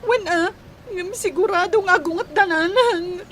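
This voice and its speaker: A woman's voice: extremely high pitch, normal speed, a bit bright, a little hiss or hum. A woman playing an anxious, apologetic young daughter in a comedy drama, plaintive and hesitant, with a northern Philippine accent. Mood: worried. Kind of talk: amateur comedy drama acting.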